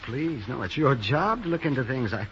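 An actor playing a flustered, apologetic, polite gentleman in a 1950s radio drama, fussy and hesitant. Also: mid-range voice, very animated, moderate pace. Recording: dull, noisy 1950s radio recording.

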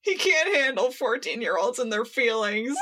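High-pitched, giggly woman. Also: normal speed. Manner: giggly, laughs while talking; acts out quoted lines excitedly. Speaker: podcast co-host. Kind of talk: casual fan podcast recap.

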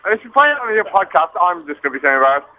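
Male caller, very high-pitched and exuberant, gushing casually down a thin phone line. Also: very animated, very fast. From United Kingdom. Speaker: caller leaving a listener message. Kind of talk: casual affectionate greeting. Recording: tinny, noisy phone line.

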